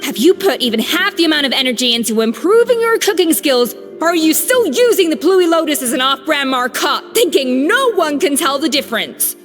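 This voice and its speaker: A composed, dignified former chef. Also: female voice, high-pitched, sing-song, fairly bright tone, soft background music. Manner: composed, measured, firm. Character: calm, dignified former female head chef. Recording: reverb.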